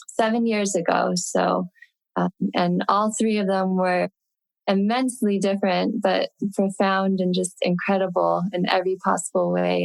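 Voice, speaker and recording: Gravelly, flat-voiced woman in her thirties. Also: medium pitch, dry recording, poor audio. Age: 30s. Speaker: podcast guest. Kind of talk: casual introduction.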